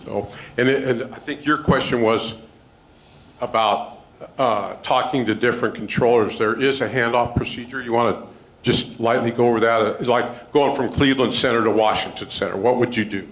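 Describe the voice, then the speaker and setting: A man's voice: medium pitch, fairly even intonation, normal speed, rough recording. An American man acting as a knowledgeable co-presenter, politely and methodically explaining to listeners in an informal talk.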